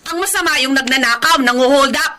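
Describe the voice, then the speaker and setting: An actress playing a nagging, money-pressed wife and mother in a Filipino radio drama, sharp-tongued and demanding. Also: high voice, fairly quick, background noise, close to an everyday voice. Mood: angry, threatening. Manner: scolding, emphatic.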